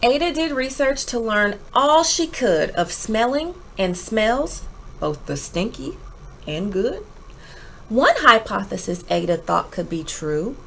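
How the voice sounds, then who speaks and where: An animated woman reads a picture book aloud for children. Her fairly high voice swings widely in pitch and takes its time, stressing words dramatically. Faint noise sits behind her. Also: slow pace, gravelly. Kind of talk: expressive children's read-aloud with asides.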